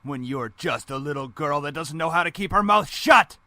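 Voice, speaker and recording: Cold bossy middle aged dad in a visual novel, hard edged forceful voice, dry close sound. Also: male voice, fairly high pitch, animated, moderate pace, dry recording, heavily acted. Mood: furious, contemptuous. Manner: stern, condescending, commanding. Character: cold, domineering, angry father and business executive.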